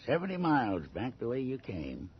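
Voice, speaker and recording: An actor playing a sardonic, evasive small-town local with a dry, folksy manner in a radio drama. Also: male voice, medium pitch, huge pitch swings, normal speed. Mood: dry, guarded. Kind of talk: 1980s radio drama acting. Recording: muffled, hissy old radio recording.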